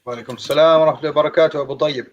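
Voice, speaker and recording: A man from Ireland and Birmingham telling his own story as an interview guest, measured and reflective, with some Arabic phrases. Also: on the high side, fairly even intonation. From Ireland and Birmingham, England. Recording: dry, slightly dull lo-fi audio.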